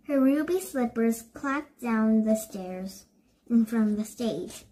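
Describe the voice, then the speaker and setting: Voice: medium pitch, lively intonation, takes it slowly, mellow, slight background noise, rough recording. A child reading a storybook aloud, word by word, with stumbles and self-corrections.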